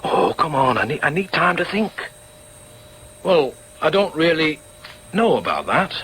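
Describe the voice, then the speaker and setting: A man's voice: on the high side, big pitch swings, unhurried, fairly bright tone, slight background noise, rough recording. An actor playing a troubled, self-doubting middle-aged father in a radio drama, with a hesitant, stammering Northern English delivery. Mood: troubled, evasive. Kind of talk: radio drama with muttered inner monologue.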